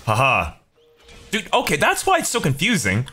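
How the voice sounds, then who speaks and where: A man's voice: a little high, wildly swooping pitch, normal speed, pushed and bright, faint music behind. A young man giving casual, jokey gaming commentary, slangy and sweary, talking to himself and his viewers. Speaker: video game streamer.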